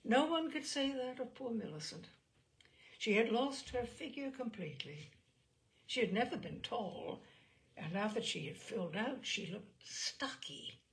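A woman reading a literary short story aloud as a storyteller, with expressive, measured phrasing. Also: fairly high pitch, low-quality recording. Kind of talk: literary audiobook narration.

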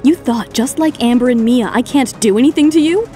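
Woman acting high-voiced, cool, defiant heroine. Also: a little fast, warm tone, soft background music, lightly acted. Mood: vengeful. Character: cool, defiant woman model, composed and cutting. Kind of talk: audio drama acting.

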